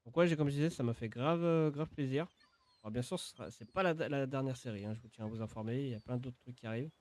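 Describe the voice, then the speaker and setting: A man's voice: medium pitch, fast, a little mellow. A man giving casual, informal gameplay commentary as a video creator, often repeating and restarting his phrases.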